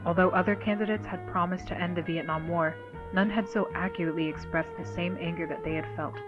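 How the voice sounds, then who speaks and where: A woman narrating a scripted documentary in a formal, measured manner. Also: on the low side, fairly even intonation. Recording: dull video playback under loud music. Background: loud music.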